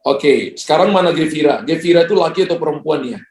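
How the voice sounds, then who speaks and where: A man's voice: fairly high pitch, fast, a little mellow, roomy sound. A man, a pastor, praying prophetic words over callers and chatting with them in a joking, pastoral way. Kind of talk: prophetic prayer and ministry to callers.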